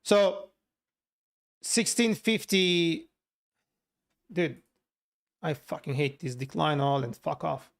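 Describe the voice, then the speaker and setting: A man's voice: on the high side, lively intonation, takes it slowly, gravelly, long pauses. A man with a Turkish accent and native Turkish, chatting casually on a livestream as he works and answers viewers. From Turkey. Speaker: livestreamer, software developer.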